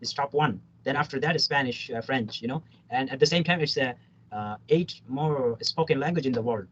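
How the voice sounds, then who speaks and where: A man's voice: mid-range voice, a little fast, fairly bright tone. A man chatting casually as a language student, explaining his points insistently and repeating himself in non-native English. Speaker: language student in a Tagalog lesson. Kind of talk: casual conversational chat. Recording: muffled call audio, clear background noise.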